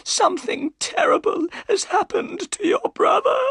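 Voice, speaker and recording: A reader voicing a tall, frightened old housekeeper who pleads in a high, trembling voice. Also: expressive, measured pace, creaky, fairly bright tone, dry recording, exaggerated voice.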